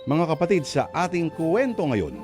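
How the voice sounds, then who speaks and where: A man, hosting and narrating a radio drama in a theatrical, deliberate storytelling style. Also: fairly high pitch, sing-song. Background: music.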